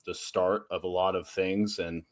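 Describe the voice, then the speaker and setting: Casting: man in his 30s, lowish voice, easygoing interview guest, hesitant fillers. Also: level tone, normal speed. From Texas and Oklahoma. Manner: many fillers and restarts, laughs easily. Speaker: guest, nonprofit founder and strength coach. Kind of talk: casual interview talk.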